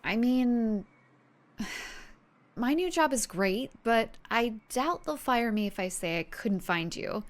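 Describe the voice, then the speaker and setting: A woman playing an earnest, excitable young superhero fan in an audio drama. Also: on the high side, slow pace. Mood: determined.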